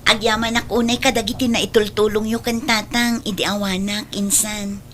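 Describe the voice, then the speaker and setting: A woman's voice: medium pitch, little pitch movement, normal speed, a bit bright, slight background noise, lightly acted. A woman with an Ilocano Filipino accent playing a dutiful, long-suffering adult daughter in an audio drama, earnest and pleading. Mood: reassuring.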